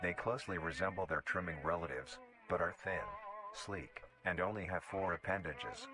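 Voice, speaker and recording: A man reading scripted narration in the style of a nature documentary, formal, measured and matter-of-fact. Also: low voice. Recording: music behind the voice. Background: clearly audible music.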